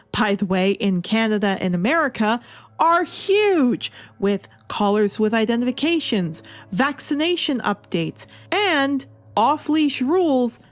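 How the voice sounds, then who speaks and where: A woman with a high voice delivers opinionated commentary as a reviewer. She is emphatic and sarcastic, leaning into incredulity as she makes her case. Music plays behind her. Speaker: comic book reviewer.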